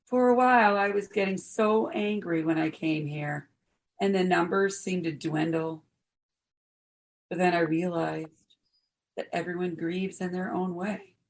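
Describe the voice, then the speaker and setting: A woman acting in an audio play as a supportive, gently resolute mourner, earnest and reflective. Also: on the low side, fairly even intonation, measured pace.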